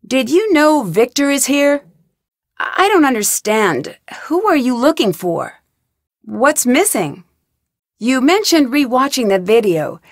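A woman's voice: on the high side, no room sound, studio quality. A woman playing a young female detective in a video game, asking inquisitive, direct, persistent questions. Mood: concerned, questioning. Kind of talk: video game dialogue lines, investigative questioning.

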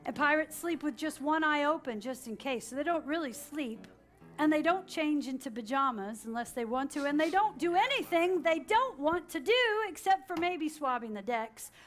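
A high-voiced woman tells a story to young children. She is dramatic and animated, inviting them to repeat lines as she goes. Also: moderate pace, faint music behind. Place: children's library story room with an audience, indoors.

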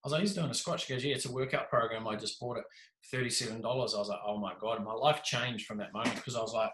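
A man in his thirties with a New Zealand accent, telling his story as a podcast guest on a video call, animated and jokey. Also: medium pitch, fast, noisy background. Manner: animated, gestures a lot, jokey. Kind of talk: casual storytelling. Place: small room indoors. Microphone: video call with desk mic. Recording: echoey room.

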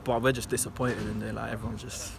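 A man's voice: lowish voice, flat delivery, gravelly, noisy background. A young man with an English accent, a football player answering post-match questions casually outdoors at night. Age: young adult. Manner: casual, quick, lots of fillers.

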